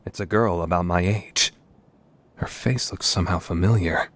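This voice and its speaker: A man's voice: deep voice, normal speed, fairly bright tone. Man playing a pompous, paranoid young mad scientist. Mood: tense narration. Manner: pompous, dramatic. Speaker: amateur voice actor. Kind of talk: dramatic fandub performance with first-person narration.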